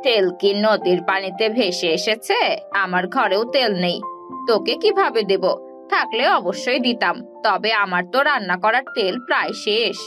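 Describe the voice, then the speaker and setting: A woman's voice: medium pitch, animated, moderate pace, over music, voice pushed down, natural voice. A woman voicing a blunt, grudging neighbour woman in a village cartoon, speaking dismissively. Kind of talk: animated cartoon dialogue.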